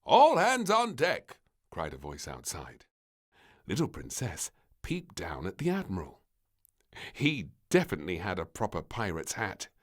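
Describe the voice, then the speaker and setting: A man narrating a children's story from a script, with expressive, deliberate phrasing. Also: sits low.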